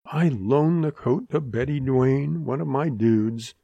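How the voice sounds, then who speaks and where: A man's voice: mid-range voice, takes it slowly, gravelly, mellow, no room sound, dull audio. A man voicing a spirited, teasing and earnest young woman in an audiobook western. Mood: amused, indignant.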